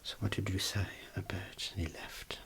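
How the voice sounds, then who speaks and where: A person performing an ASMR medical examination roleplay as a dermatologist, speaking in a soft, breathy whisper with a gentle, reassuring clinical manner. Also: sits low, little pitch movement, normal speed.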